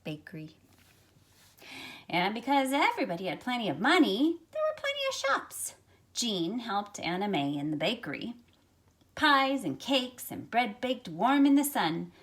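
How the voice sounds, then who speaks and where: A middle-aged woman reading a picture book aloud to young listeners in an expressive, measured storytelling way. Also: on the high side. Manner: expressive, measured storytelling with drawn-out phrases and softened asides. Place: small carpeted room at home, indoors. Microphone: phone at arm's length.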